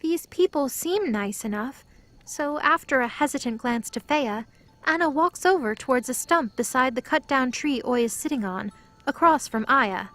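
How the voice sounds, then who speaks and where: High-voiced woman narrating an audio drama. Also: lively intonation, normal speed, a bit bright. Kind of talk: scripted story narration.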